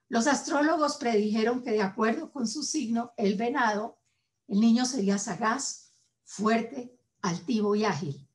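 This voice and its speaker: A woman with a Colombian Spanish accent, hosting and delivering a formal scripted address in a measured, solemn way with deliberate pauses. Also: medium pitch. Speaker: host.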